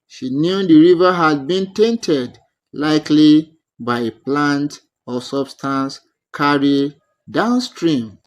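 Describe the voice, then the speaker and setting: A male narrator tells a folktale with dramatic care. His voice sits fairly high and moves in very lively swings, while the delivery stays very slow and deliberate. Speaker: storyteller, narrator.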